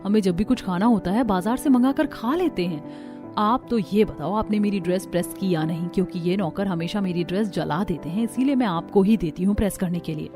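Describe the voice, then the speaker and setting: A woman's voice: mid-range voice, fairly quick, close to an everyday voice. A woman voicing a demanding college-age sister-in-law in a cartoon story, casual and pushy in manner. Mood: breezy, pushy. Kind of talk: Hindi cartoon story dialogue. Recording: music behind the voice.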